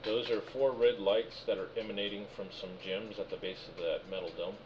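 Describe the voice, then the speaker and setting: A man's voice: lowish voice, fairly even intonation, fairly quick. A man running a tabletop game, reading out room descriptions and answering players patiently. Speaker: game master. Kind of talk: narrating a tabletop game session. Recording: muffled voice call with background noise.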